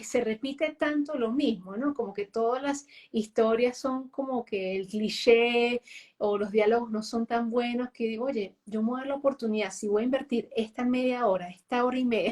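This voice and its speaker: A woman's voice: a little high, darkish tone. A woman guest in a casual conversation, talking freely and checking in with tag questions as she goes. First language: Spanish. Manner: chatty, full of tag questions.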